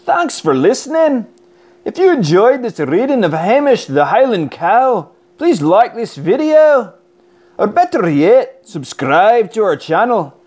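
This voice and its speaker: An expressive Scottish man reads a children's story aloud. His pitch moves widely and he takes his time over the words, keeping the delivery energetic. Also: a little slow. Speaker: storybook narrator. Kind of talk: animated children's read-aloud.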